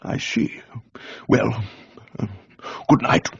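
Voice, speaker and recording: A man's voice: slow pace, dry recording, muffled recording, natural voice. A man voicing a forthright, blustering Englishman in love, speaking emphatically with an English accent in a radio reading. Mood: curt.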